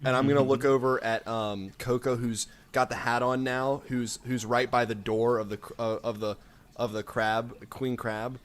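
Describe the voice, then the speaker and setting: A man playing an improvised tabletop role-playing game, thinking aloud in a halting, casual way. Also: mid-range voice, little pitch movement. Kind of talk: improvised comic role-play chat.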